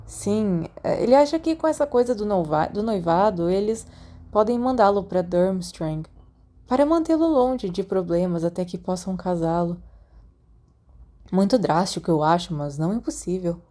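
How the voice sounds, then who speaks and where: A woman's voice: mid-range voice, lively intonation, moderate pace, dark tone, natural voice. A woman voicing a curious teenage schoolboy who talks in a frank, questioning manner. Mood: curious, frowning.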